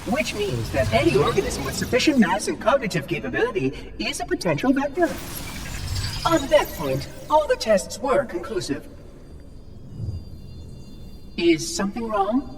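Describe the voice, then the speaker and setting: A man's voice: high-pitched, wildly swooping pitch, moderate pace, pauses often, far from the mic, a little hiss or hum. A man voicing a fussy, officious ancient floating machine caretaker in a video game, prim, formal and theatrical, with precise enunciation. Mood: brisk, prim. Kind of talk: video game cutscene acting.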